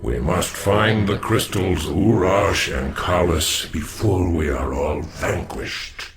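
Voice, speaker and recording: An actor playing a grave, wary old alien warrior in a video game, slow and solemn with a gravelly, echoing voice. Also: male voice, low-pitched, over a noisy crowd. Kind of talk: scripted video game voice acting.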